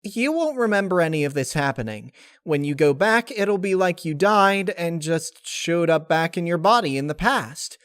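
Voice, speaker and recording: A man's voice: fairly high pitch, big pitch swings, moderate pace, hardly any pauses, fairly bright tone, clear, resonant tone, no echo, studio quality, voice pushed up. A man voicing a bubbly, carefree young female pony spirit in a story reading, with perky, gushing delivery. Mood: breezy, reassuring. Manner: perky, gushing, childlike phrasing.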